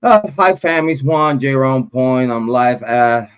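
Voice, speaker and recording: A man's voice: medium pitch, animated, smooth, highs cut off. A man from Rico, hosting a casual livestream chat. His talk rambles and drags with fillers and the odd curse. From Puerto Rico. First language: Spanish. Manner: rambling, chatty, drawn-out with fillers and cursing. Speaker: livestream host.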